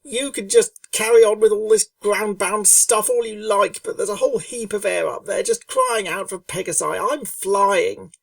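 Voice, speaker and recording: A woman voicing a brash, impatient young female pegasus pony who complains loudly and whines. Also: medium pitch, moderate pace, gravelly, hardly any pauses, dry recording, recorded from a distance, pitched above the performer's own voice. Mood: irritable. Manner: whiny, loud, complaining.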